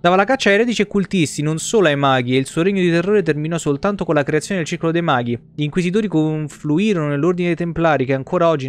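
A man's voice: on the high side, clean tone. A man, giving informal live game commentary and reading game text aloud on a close microphone. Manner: informal, mild exclamations. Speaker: video game streamer. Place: small indoor streaming setup.

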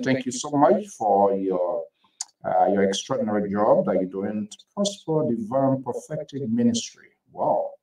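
A man's voice: mid-range voice, darkish tone, roomy sound, far from the mic, rough recording. A man hosting a live talk show, greeting listeners and callers by name with fillers and restarts. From Liberia. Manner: reads names with fillers and restarts. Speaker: talk show host sitting in.

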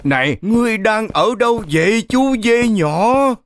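A man voicing a hapless, excitable grey wolf in a children's cartoon, dramatic and exclaiming. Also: high-pitched, measured pace. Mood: eager. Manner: exclaiming, dramatic, stammering when flustered.

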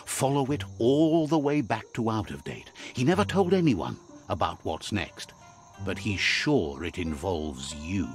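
A man's voice: on the low side, expressive, unhurried. A man playing a solemn storybook narrator in a video game, speaking scripted lines over music.

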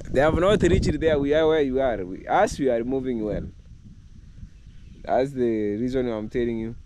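A middle-aged man from Kenya with a Kenyan accent, hoarse from a cold, chatting to his camera while he works outdoors in his village. He repeats words and jumps up in pitch when he stresses a point. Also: normal speed, background noise. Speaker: vlogger. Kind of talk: casual vlog to camera. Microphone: camera held at arm's length.

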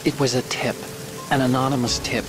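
Game role, male actor: young tech sidekick, earnest, hesitant, worried, average male pitch, score and rain underneath. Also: steady tone, brisk, close to an everyday voice. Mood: hesitant, confessing. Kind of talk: dramatic video game acting. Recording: music and rain behind.

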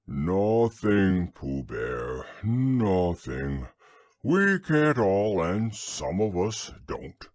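A mopey old donkey with a low, sighing drawl, as a male reader puts him on. He speaks very slowly with dry sarcasm and a husky edge. The storybook voice is close and dry. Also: very animated, a little mellow, dry recording, exaggerated voice. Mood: gloomy. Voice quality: low, slow, drawn-out sighing voice. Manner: morose, dryly sarcastic.

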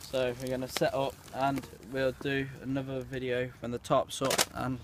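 Teen lad talking into his phone in the woods, kinda monotone, lots of ums, noisy outside. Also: mid-range voice, normal speed. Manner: rambling, filler-heavy, hushed at night. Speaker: vlogger. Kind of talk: casual camping vlog. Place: outdoors, woods by a lake. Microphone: handheld phone. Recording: phone outdoors with wind noise.

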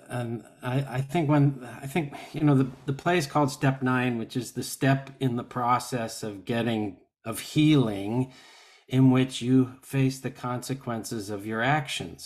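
Slow, low-voiced older man. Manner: deliberate, earnest. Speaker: actor. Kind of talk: reflective discussion. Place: at home on a video call.

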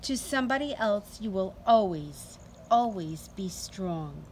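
A middle-aged woman doing an expressive, deliberate read-aloud storytime for young children. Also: mid-range voice. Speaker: teacher reading to children. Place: outdoors in a sunny backyard. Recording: outdoor ambient noise.

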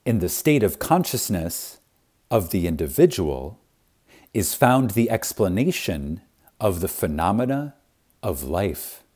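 A man narrating a spiritual audiobook in a reverent, deliberate manner, with dramatic pauses and strong emphasis. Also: deep voice, big pitch swings, a little mellow, breathy edge. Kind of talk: scripted audiobook reading of a spiritual text.

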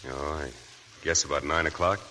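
An actor playing a nervous, hesitant reporter held at gunpoint, in 1940s radio acting. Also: male voice, extremely low pitch, steady tone, normal speed, sharp tone. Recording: old noisy radio broadcast.

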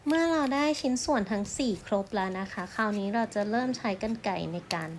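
A woman's voice: a little high, fast talker, a little hiss or hum. A woman art teacher narrating a craft tutorial step by step in a polite, instructional way.

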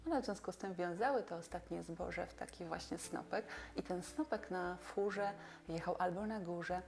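Gravelly low-voiced woman explaining folk customs. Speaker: museum expert on folk customs. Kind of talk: explanatory documentary interview talk. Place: furnished indoor room. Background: music.

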